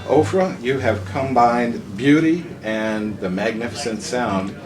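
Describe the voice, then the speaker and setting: A man's voice: medium pitch, slow pace, crowd noise. A man hosting an upbeat promotional TV interview, addressing guests and viewers in a presenter's style. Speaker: TV show host interviewing guests. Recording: slightly distant mic, music behind. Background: background music.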